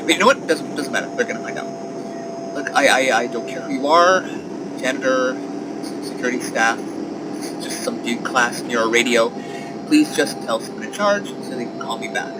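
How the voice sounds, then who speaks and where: A man's voice: medium pitch, normal speed, lightly acted. A man playing a nervous, rambling scientist in an audio drama, stammering and apologetic as he radios in reports. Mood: flustered, pleading. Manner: stammering, apologetic, flustered, awkwardly self-correcting. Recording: heavy noise over a radio transmission.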